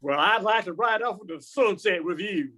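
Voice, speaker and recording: A man's voice: sits high, expressive, a little fast, pushed and bright, full character voice. An older man playing a smitten, folksy cowboy gunslinger in an amateur radio comedy. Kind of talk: amateur old-time radio comedy acting.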